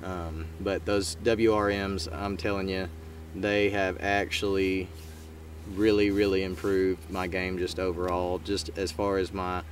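A man, co-hosting a fishing channel and explaining gear in a relaxed, rambling way with many fillers. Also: low voice, a little slow. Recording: slightly distant mic with faint noise.

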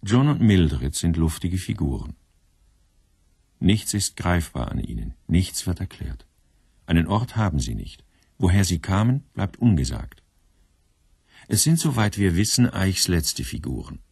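A man narrating a scripted literary radio programme in measured, short, pointed phrases. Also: low-pitched, sing-song, gravelly, darkish tone, husky, no echo, clean studio sound.

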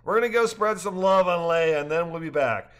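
A man voicing a loud, jovial older man in a story reading, speaking boisterously. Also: on the high side, a little fast, clean tone, pitched above the performer's own voice, natural voice. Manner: barking, boisterous.

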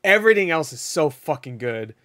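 Man in his 30s, reaction host on headset, slightly high voice, excitable and foul-mouthed, a bit hoarse. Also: brisk, no echo, clean studio sound. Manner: excitable, swears a lot, laughs often. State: losing his voice. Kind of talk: casual reaction commentary. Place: home room, indoors.

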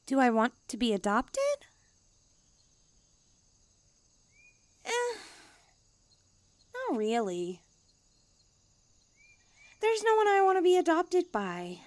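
Girl doing a cute smug catgirl for asmr roleplay, slow n languid, kinda high, super dry close recording. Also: huge pitch swings, no room sound, exaggerated voice. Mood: breezy, smug. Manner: self-important, playfully haughty, languid. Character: cute, smug, pampered, drowsy young female stray catgirl.

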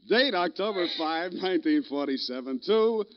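Hard-boiled American detective, teasing, wisecracking, very animated, 1940s radio drama, dull vintage sound. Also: male voice, high-pitched, slow pace. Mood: amused, teasing. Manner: wry, flip, casually affectionate. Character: wisecracking hard-boiled male private eye, breezy and teasing.

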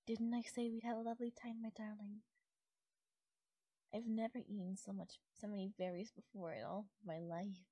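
A woman's voice: mid-range voice, little pitch movement, moderate pace, pauses often, warm tone, slightly rough, no echo. A woman playing a possessive, doting fairy captor in an intimate ASMR audio roleplay, speaking sweetly and lilting, sometimes nearly whispering. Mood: tender, cheerful.

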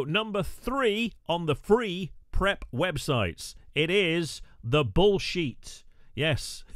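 A man's voice: a little high, a bit bright, dry recording, clean studio sound. A male radio presenter talking through a screen-recorded tutorial, chatty with wry asides and frequent "uh" fillers.

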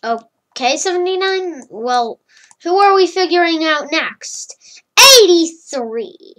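Slow, high-voiced child narrator. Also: sing-song, bright, forceful tone. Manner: slow and wondering, with many hums and murmurs. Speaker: fan animator narrating. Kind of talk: playful narration of a homemade number-block animation.

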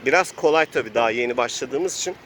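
A man's voice: mid-range voice, animated, quick pace, a crowd in the background. A man narrates an improvised role-playing game outdoors as host and game master, telling it casually with spoken sound effects. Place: outdoors in an urban area. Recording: outdoor urban noise, passing vehicles.

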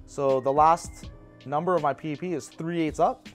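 Shop instructor, man in his 30s, lapel mic, easygoing practical delivery, light pitch. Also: normal speed, soft background music. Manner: relaxed, practical, personal asides. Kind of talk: casual how-to explanation. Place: bowling pro shop indoors.

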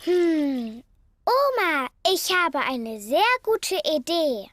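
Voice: sits high, sing-song, very slow, fairly bright tone, no echo, clean studio sound, heavily acted. A performer voicing a cheerful, bossy little girl piglet in a cartoon, eager and childlike, with music behind the voice. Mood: eager, playful.

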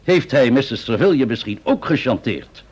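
Lively, husky police chief, Dutch accent. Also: male voice, mid-range voice, normal speed. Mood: probing. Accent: Netherlands Dutch. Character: doubtful, probing male senior police chief. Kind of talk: old-fashioned Dutch radio play acting. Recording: dull, muffled old radio recording.

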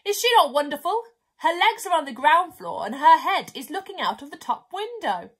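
A big, curious storybook pelican acted out by a woman reader. The voice is high and very animated, calling out loudly in drawn-out sing-song phrases. It sounds hungry and full of itself. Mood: proud. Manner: loud, exclaiming, drawn-out sing-song delivery.

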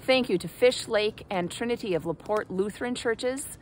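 A woman in her forties, a pastor, giving a measured, earnest devotional reflection outdoors by a snowy fire pit, filmed on a phone at arm's length. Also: a little high, a little hiss or hum. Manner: measured, earnest, lyrical delivery. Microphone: phone camera at arm's length.

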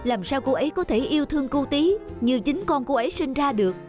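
A woman voicing an earnest, worried young father in an animated moral tale, with a soft delivery. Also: sits high, fairly quick, music in the background, voice pushed up.

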